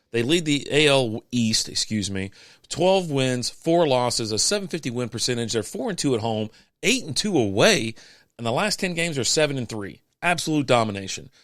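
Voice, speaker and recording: A man hosting a podcast, reading out sports standings in a casual, chatty way with offhand quips, his voice going hoarse. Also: mid-range voice, big pitch swings, normal speed, fairly bright tone, dry recording, studio quality. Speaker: podcast host.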